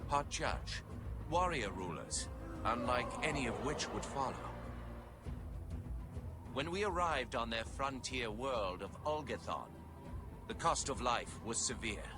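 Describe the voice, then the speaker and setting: A man acts as a proud alien prophet in a video game. He narrates with solemn, deliberate grandeur at a middling pitch, and loud cinematic music plays throughout. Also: natural voice. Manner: stately, deliberate, pompous. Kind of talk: scripted dramatic game narration.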